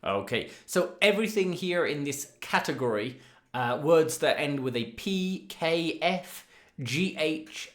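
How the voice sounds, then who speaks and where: A man in his thirties, a regular guest and English teacher, talking in a relaxed way and letting his phrases trail off quietly, on a video call. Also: a little high. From Ireland. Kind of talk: casual teaching chat. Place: home room, indoors. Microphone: studio mic on a video call.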